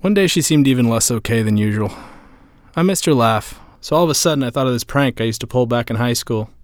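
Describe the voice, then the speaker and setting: A man's voice: mid-range voice, fast talker, no room sound, studio quality, lightly acted. Man playing a wry, lonely ex-boyfriend. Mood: wry, casual. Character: wry lonely heartbroken ex-boyfriend telling his story. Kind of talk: first-person narration in an audio drama. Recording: music under the voice.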